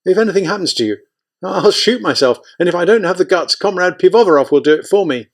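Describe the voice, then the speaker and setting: Fussy nervous soldier aide, high-strung and pleading, as a male narrator puts him on. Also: fairly quick, lightly acted. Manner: pleading, flustered. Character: anxious fussing male army aide.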